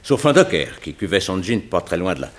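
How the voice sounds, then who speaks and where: Low-voiced, down-to-earth police inspector. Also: male voice, big pitch swings, fairly quick. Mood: earnest. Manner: colloquial, interjecting, deferential to the detective. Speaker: actor in a radio crime drama. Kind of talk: 1960s French radio drama acting.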